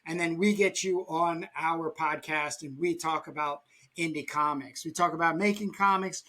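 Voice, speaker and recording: A man hosting a podcast about comics in casual talk, pausing mid-sentence as he goes. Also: fairly high pitch, steady tone, sharp tone. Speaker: podcast host and comic artist.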